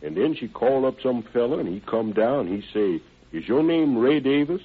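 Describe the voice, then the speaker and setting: A man's voice: mid-range voice, animated, normal speed, husky. An actor playing an evasive, deferential working man with folksy, ungrammatical speech and polite yes-sirs, in an old radio drama style. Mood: earnest, explaining. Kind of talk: 1940s-50s radio drama acting. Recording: tinny band-limited old radio recording.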